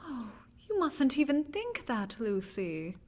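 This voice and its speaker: A woman's voice: sits high, sing-song, slow, darkish tone, heavily acted. A woman playing an earnest, frightened young woman in a vintage-style radio drama, speaking with dramatic, anguished deliberation. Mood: worried, soothing.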